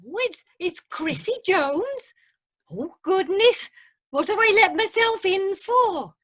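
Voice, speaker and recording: A woman's voice: very high voice, animated, slow pace, fairly bright tone, full character voice. A woman playing a modest, nervous Welsh amateur singer with a Welsh accent in a radio comedy. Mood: flustered, nervous. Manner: hesitant, flustered, polite.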